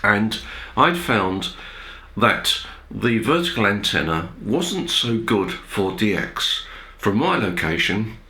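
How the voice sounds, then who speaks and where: Male explainer host, bright low voice, measured and hesitant, roomy echoey recording. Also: very animated. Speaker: amateur radio video host. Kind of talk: informal technical explainer. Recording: roomy, echoey indoor sound.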